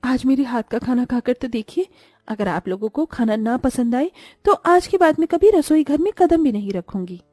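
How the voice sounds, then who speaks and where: A woman acts out a meek young daughter from a cartoon tale. Her voice is high and pleading, apologetic, with faint music behind it. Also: expressive, moderate pace, darkish tone, no echo, studio quality. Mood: earnest. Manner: earnest, pleading. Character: apologetic, hurt 25-year-old daughter, eager cook.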